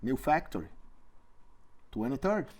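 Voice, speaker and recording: A man with a Brazilian accent, a native Portuguese speaker, co-hosting a casual podcast chat in an animated, teasing way and often repeating his phrases. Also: mid-range voice, measured pace, a little hiss or hum. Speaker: co-host of a martial arts podcast.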